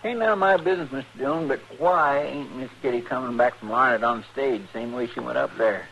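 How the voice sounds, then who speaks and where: An actor playing a nosy, simple, folksy deputy in an old radio western, speaking in a rural American drawl. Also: male voice, mid-range voice, a little fast, gravelly, a bit bright, muffled recording. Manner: folksy, plain-spoken, naively inquisitive. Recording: noisy old radio recording.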